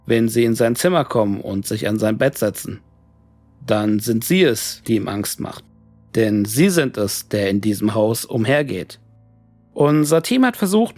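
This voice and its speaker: A man narrates a scripted horror story in pronunciation. His mid-pitched voice carries a clear gravelly creak. Music plays underneath the reading. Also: dry recording.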